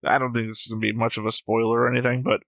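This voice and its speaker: A man's voice: medium pitch, little pitch movement, racing, creaky, a bit bright, dry recording, clean studio sound. A man hosting a reaction show, explaining at length in a casual, musing way.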